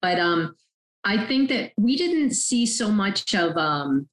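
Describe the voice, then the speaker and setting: A woman's voice: medium pitch, very animated, takes it slowly, reverberant, rough recording. A woman who directs mental health services for a school district, answering measuredly with some fillers. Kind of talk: expert answer on a panel.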